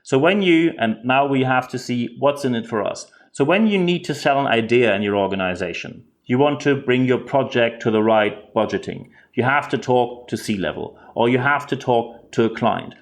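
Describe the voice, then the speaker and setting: A man speaking English with a German accent delivers podcast commentary in an earnest, direct way. He often repeats words as he restarts a thought, and his pitch sits in an ordinary middle range. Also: expressive, normal speed, few pauses. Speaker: podcast host.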